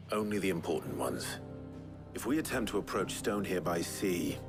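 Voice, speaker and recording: A gruff heroic leader from a fantasy game, very low and gravelly. He gives plain orders with dry resolve, over clear background music. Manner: plain, commanding, dryly humorous. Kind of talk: video game voice acting.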